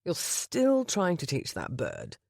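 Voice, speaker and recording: A grown man, a husband with a low, husky voice, tender and dry-humoured, as a woman reading a romance audiobook puts him on. His lines sit low and move with lively rises and falls. The sound is close and dry, with no room around it. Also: slow, no echo, exaggerated voice. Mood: wry.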